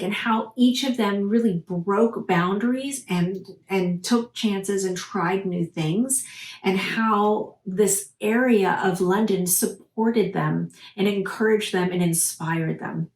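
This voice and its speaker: A woman talking casually and with enthusiasm about books she has been reading, often pausing with "uh" and restarting her sentences. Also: mid-range voice, roomy sound, poor audio. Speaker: book vlogger. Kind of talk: casual book review talk.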